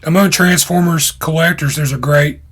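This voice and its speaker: A man with a somewhat high-pitched voice narrates an explanatory online video. He speaks as the host, laying out items in measured, short phrases. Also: fairly even intonation, a bit bright, slightly rough. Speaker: YouTube host.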